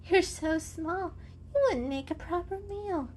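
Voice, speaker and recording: Woman voicing an anxious, high-pitched little girl cat. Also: a little slow, a little mellow. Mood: prim, soft. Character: small dainty female house cat, anxious, fussy, plaintive. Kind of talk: dramatic reading of animal storybook.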